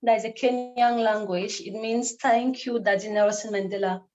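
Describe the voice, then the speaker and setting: A woman's voice: on the high side, steady tone, moderate pace, darkish tone, dull audio, faint music behind. A woman from Cameroon performing a spoken word poem in a rhythmic, declamatory way, with repeated refrains.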